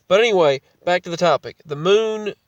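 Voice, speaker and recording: A man's voice: high voice, brisk, dry recording, recorded from a distance, highs cut off. A man with a cold, sounding congested, talking casually to his vlog audience and often restarting and repeating his phrases. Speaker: vlogger.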